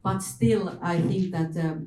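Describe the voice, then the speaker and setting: A woman with talks haltingly on a panel. Her voice is low and dark, heard at some distance from the mic in a reverberant room. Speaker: panel speaker. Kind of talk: hesitant panel discussion.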